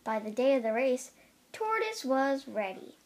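A young, high-pitched voice reads a picture book aloud. The delivery is slow and careful, with lively pitch and small stumbles over words. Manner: careful reading with occasional stumbles. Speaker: storybook reader.

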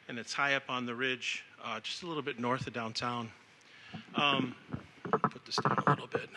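A man's voice: medium pitch, pushed and bright. A man in his forties, born in Samoa and raised in the United States, giving an upbeat, casual live talk and leading the audience. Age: 40s. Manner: upbeat, casual, leads the crowd. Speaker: speaker at an education event.

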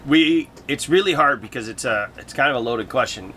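A man's voice: mid-range voice, lively intonation, normal speed, pushed and bright, slight background noise. A man from Canada with a Canadian accent, co-hosting a vlog in casual chat. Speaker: co-host of a sailing vlog. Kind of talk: casual chat, answering viewer questions.

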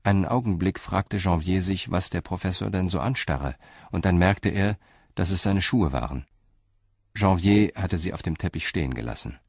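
Man, narrator, very low voice, measured, dry studio sound. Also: dry recording. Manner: measured, calm storytelling. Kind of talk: scripted audio drama narration.

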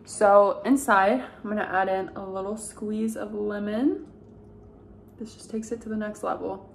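A young woman talks straight to the camera in a home kitchen, upbeat and gushing as she chats about food. Her voice sits at an ordinary middle pitch. Faint kitchen sounds stay behind her. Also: steady tone, unhurried, gravelly, a little hiss or hum. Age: young adult. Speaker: lifestyle vlogger. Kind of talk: casual chat to camera.

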